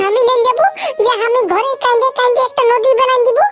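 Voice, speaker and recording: An actor voicing a tearful, stubborn little village boy in a comic cartoon, with a Purulia Bengali accent, wailing and repeating complaints in a pitched-up voice. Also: little pitch movement, fast, fairly bright tone. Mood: whiny. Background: music.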